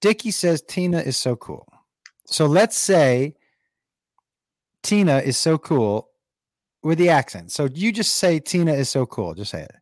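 A man's voice: fairly high pitch, very animated, unhurried, long pauses, a little mellow, no room sound, studio quality. A man hosting a casual video call, playful and self-deprecating as he chats with guests.

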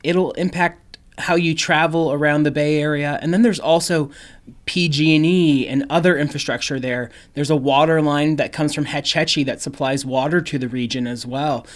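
A young adult male reporter explaining a local story in a casual way, with some fillers. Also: fairly high pitch. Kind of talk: radio news feature narration.

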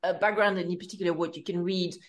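A woman's voice: fairly low pitch, steady tone, quick pace. A woman hosting her podcast in casual interview style, speaking hesitantly with many fillers and restarts. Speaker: podcast host. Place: home in London.

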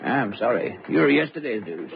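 An American actor playing a blunt, jaded newspaper crime editor, brisk and slangy, in old-time radio drama style. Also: male voice, mid-range voice, sing-song, gravelly. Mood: blunt, confiding. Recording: muffled, hissy old radio recording.

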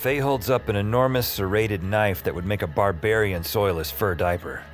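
Actor as wry self-deprecating young guy, lowish voice, casual fiction podcast lead with music underneath. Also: moderate pace, natural voice. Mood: wry narration. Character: wry, self-deprecating young man, narrating his bewildering adventures. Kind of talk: audio fiction acting with first-person narration.

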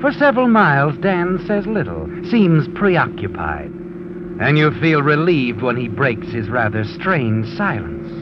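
An actor playing a taunting, ominous narrator in a 1940s radio mystery, sardonic and insinuating. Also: male voice, mid-range voice, very animated, a little slow, noisy background. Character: taunting, ominous all-knowing narrator addressing the character. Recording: muffled old radio broadcast recording. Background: dramatic music.

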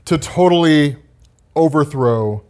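A man in casual banter with friends, improvising silly comic-book stories, animated and playfully defensive. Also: on the high side, takes it slowly, heavy background noise.

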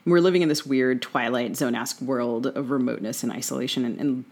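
A woman's voice: extremely low pitch, fairly even intonation, brisk, darkish tone. A woman interviewing a guest in a conversational way, leading into open questions. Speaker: podcast interviewer.

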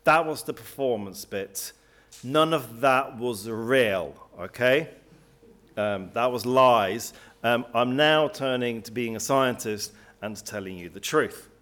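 A man's voice: mid-range voice, slow, a bit bright. A middle-aged man from Switzerland giving a public lecture, speaking emphatically with occasional restarts. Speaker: guest speaker.

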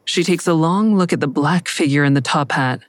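A woman's voice: low-pitched, brisk, no echo, close to an everyday voice. A woman playing a troubled, defiant suspect who narrates her own story in an audio drama, pointed and anxious. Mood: guarded narrating. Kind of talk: first-person audio drama narration and dialogue. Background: music.

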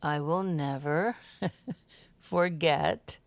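A woman's voice: low voice, drawn-out words, no room sound, full character voice. A woman playing a chatty, consoling true-crime podcast host in audio fiction, with an emphatic, theatrical way of talking. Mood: amused.

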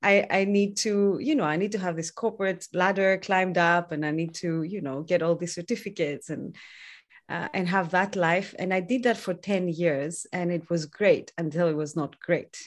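A woman from Greece in her thirties, a jet-lagged guest on a video-call interview, chatty and quick to laugh at herself. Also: fairly low pitch. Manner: chatty, self-deprecating, laughs easily. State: jet lagged, short on sleep. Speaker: guest, entrepreneur. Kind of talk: casual podcast interview.

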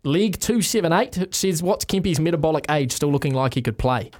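A man's voice: fairly high pitch, lively intonation, brisk, a little mellow. A man with a New Zealand accent hosting a sports radio show in casual, bantering style.

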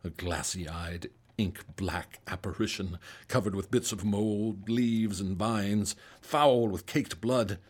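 An actor playing an anxious, haunted doctor in radio drama, a reluctant accomplice who tells of horrors in florid, grave words. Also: male voice, lowish voice, takes it slowly, husky. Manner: grave, florid storytelling, fretful in dialogue. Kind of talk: audio drama in old-time radio style.

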